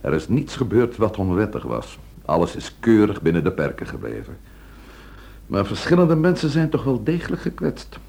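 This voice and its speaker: An actor playing a brisk, pragmatic police chief in a radio drama, with a businesslike, dry manner, on an old noisy recording. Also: male voice, on the low side, huge pitch swings, gravelly, darkish tone, husky, heavily acted. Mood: satisfied, probing.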